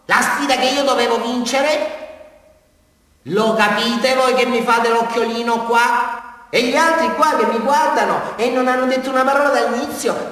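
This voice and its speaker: A man's voice: very high voice, fairly bright tone. An actor playing an agitated small-town man with a southern Italian accent, rambling and repeating himself in a theatrical confession to a police sergeant. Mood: indignant. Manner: rambling, repetitive, swinging from whispers to outbursts. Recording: very echoey hall, distant microphone.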